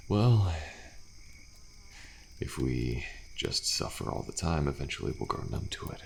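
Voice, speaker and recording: A very low, crackling male voice speaks slowly and softly, a man acting a weary survivor who stays friendly and quietly hopeful. It is an intimate ASMR roleplay delivery, hushed and reassuring, with faint night insects behind. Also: sing-song, heavily acted. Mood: calm, resigned. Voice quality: deep, gravelly. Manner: soft-spoken, hushed, unhurried, reassuring.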